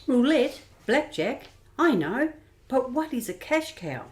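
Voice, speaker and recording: Sympathetic woman bar owner for radio drama, acted by a woman: highish, lively pitch, inquisitive, echoey. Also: slow, full character voice. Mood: questioning. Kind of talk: radio play acting. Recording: roomy, echoey amateur recording.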